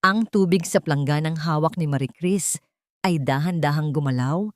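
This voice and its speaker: Low-voiced woman narrating dramatic fiction. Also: moderate pace, dry recording, clean studio sound. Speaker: storyteller.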